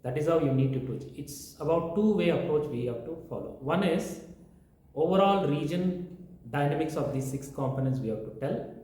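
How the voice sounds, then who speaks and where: Male professor, lecture-style, mellow tone, very reverberant room. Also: fairly high pitch, lively intonation, normal speed. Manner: lecturing, listing points one by one. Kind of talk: explaining teaching methods in an interview. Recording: very echoey room.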